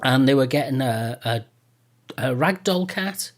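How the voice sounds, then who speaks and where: A man's voice: mid-range voice, expressive, a little slow. A British man hosting a casual interview, talking in a rambling, self-deprecating way. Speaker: podcast host.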